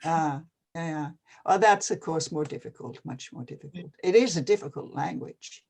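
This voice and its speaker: An older person from Canada, talking casually and hesitantly with many pauses and fillers. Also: mid-range voice, vocal fry, darkish tone. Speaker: retired NGO advisor. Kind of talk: casual video-call conversation.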